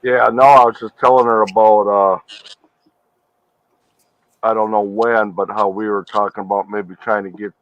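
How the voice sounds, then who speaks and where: A man joining a stream chat, talking in a relaxed, offhand way. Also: on the low side, normal speed, long pauses, no echo. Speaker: stream guest. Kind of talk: casual chat. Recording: dry, dull lo-fi audio.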